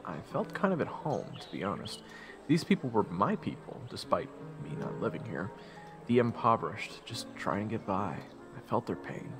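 A man's voice: lowish voice, very animated, moderate pace, creaky, over music. A man playing a young fantasy hero who narrates his adventure reflectively in the first person.

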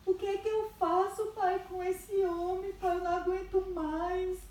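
A woman's voice: very high voice, level tone, moderate pace, warm tone, a little hiss or hum. A young woman acting as a weary wife who prays for her husband, exasperated and tearful in a church skit. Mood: tearful prayer. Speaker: amateur actor in a church skit. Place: wood-panelled hall, indoors. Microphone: far camera. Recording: echoey hall.

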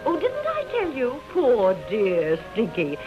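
Fluttery socialite hostess, high effusive voice, polite, music behind, muffled 1930s radio play. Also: female voice, huge pitch swings, takes it slowly, exaggerated voice. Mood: fluttery dismay. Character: fluttery, gracious society hostess keeping up appearances. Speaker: actress in a radio drama.

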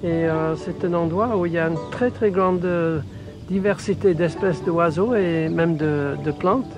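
A man's voice: a little high, measured pace, music in the background. An older man from England, a native English speaker with an English accent, chatting reflectively and hesitantly as a documentary interviewee. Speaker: retired ornithologist.

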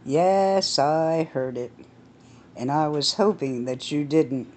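A woman voicing a calm husband who talks slowly and soothingly to his frightened wife. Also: sits low.

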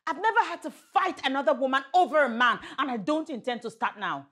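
An actress playing a proud, independent, outspoken widow in a naturalistic screen drama. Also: female voice, lowish voice, lively intonation, normal speed. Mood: indignant.

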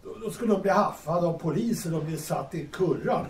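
A man in an audio drama playing a genial, gossipy friend, chatty and a little halting, repeating words. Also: on the high side, natural voice. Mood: gossipy, amused. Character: genial gossipy male friend who enjoys feminine charm. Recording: echoey room, distant, faint noise.

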